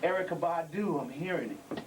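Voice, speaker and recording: A man's voice: a little high, fairly quick. A middle-aged man addressing young members at a gathering, preaching with repeated emphasis and street vernacular. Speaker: elder speaker at a community gathering. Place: indoor building. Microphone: handheld microphone. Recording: distant mic with background noise.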